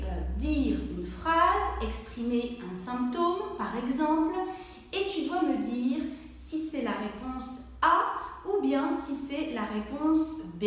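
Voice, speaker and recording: High, slow-speaking woman teacher in an echoey room. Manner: slow and clear, acting out symptoms with coughs and sneezes; addresses the viewer directly, encouraging. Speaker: French teacher. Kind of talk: language lesson for learners. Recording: very echoey room, voice from far off the mic.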